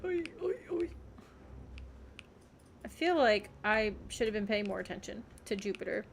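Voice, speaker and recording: A woman's voice: mid-range voice, sing-song, unhurried, vocal fry, slight background noise. A woman livestreamer giving casual gameplay commentary, muttering questions and reactions to herself as she plays. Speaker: video game streamer.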